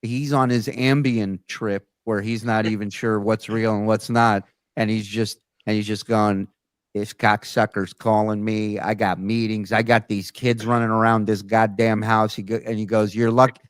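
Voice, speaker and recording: A man's voice: fairly low pitch, level tone, normal speed, clean tone, no echo, studio quality. A man hosting a casual talk show, telling stories in a blunt, profane way. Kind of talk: casual conversational storytelling.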